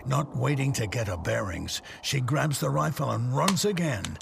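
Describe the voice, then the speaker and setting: A man's voice: medium pitch, animated, normal speed, vocal fry, background noise. A man giving dramatic scripted narration for an audio drama.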